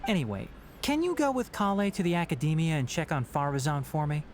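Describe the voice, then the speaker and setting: A man voices a caring, sensible young video game scholar who explains things precisely. The delivery is measured and reassuring, with animated intonation, and music plays behind it. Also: a little high, natural voice. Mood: concerned.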